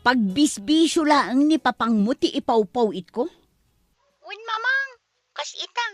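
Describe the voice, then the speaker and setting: A woman's voice: sits high, a bit bright, no echo, exaggerated voice. A woman acting exasperated, complaining women of a struggling family in a comedy drama, with an Ilocano accent. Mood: upset.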